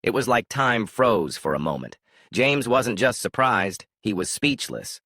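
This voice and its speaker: A man's voice: fairly low pitch, lively intonation, measured pace, sharp tone, slightly rough, no room sound, studio quality. A man narrating a scripted story in a dramatic storytelling manner.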